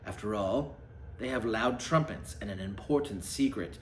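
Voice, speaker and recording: A man reading a storybook aloud with a theatrical, deliberate delivery. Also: lowish voice. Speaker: storybook reader. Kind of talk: animated read-aloud storytelling. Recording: echoey room, faint background noise.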